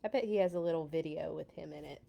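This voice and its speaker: A woman hosting a children's read-along, casual and amused, with chatty, expressive asides. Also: sits low, fast talker, vocal fry, muffled recording, slight background noise.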